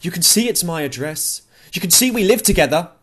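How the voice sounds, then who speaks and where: Male narrator as grieving young woman, raised pitch, terse, insistent, defensive, audiobook dialogue. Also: expressive, brisk, fairly bright tone, slightly rough, exaggerated voice. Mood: defiant.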